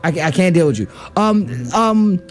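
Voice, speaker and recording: A man's voice: high-pitched, lively intonation, moderate pace, soft background music. A man co-hosting a radio show, giving heated political commentary with many fillers and restarts.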